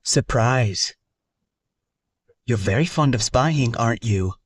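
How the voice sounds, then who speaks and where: A man playing a menacing, gloating henchman in an animated moral story, with a taunting delivery. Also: medium pitch, no echo, recorded from a distance. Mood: gloating menace. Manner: slow, taunting. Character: menacing, gloating male henchman with sinister smile.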